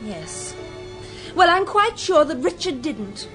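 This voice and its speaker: An impetuous, well-bred young Englishwoman in a radio play. Her voice is high and swoops expressively, with an insistent edge. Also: sing-song, slow pace, fairly bright tone, faint music behind, full character voice. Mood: upset, insistent. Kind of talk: radio drama acting.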